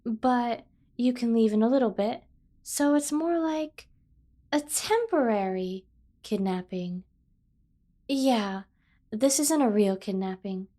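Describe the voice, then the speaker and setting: Casting: a woman to play a playful yandere kidnapper for ASMR roleplay, higher-voiced, unhurried, doting and teasing. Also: dark tone. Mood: coaxing. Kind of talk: scripted ASMR roleplay acting.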